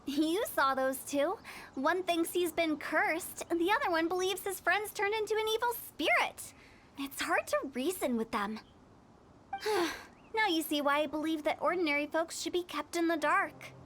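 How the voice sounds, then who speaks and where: A woman's voice: very high voice, expressive, normal speed, a bit bright, a little hiss or hum. A woman voicing a confident, theatrical young funeral director in a video game. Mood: amused, knowing. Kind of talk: English video game voice acting. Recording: game music playing behind.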